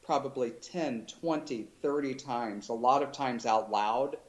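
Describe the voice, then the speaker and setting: Thoughtful, halting man in an interview. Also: mid-range voice. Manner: thoughtful, halting with many restarts and fillers. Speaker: author guest. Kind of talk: casual interview chat.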